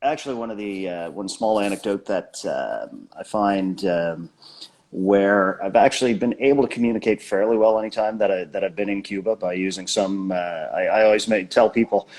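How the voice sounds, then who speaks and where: A man hosting a casual live chat about baseball, hesitant and self-deprecating, with many pauses and restarts. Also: lowish voice, darkish tone. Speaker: baseball channel host.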